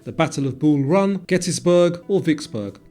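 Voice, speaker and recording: Higher-voiced, mellow male quiz host over music. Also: animated, moderate pace. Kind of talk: scripted quiz narration. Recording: music under the voice.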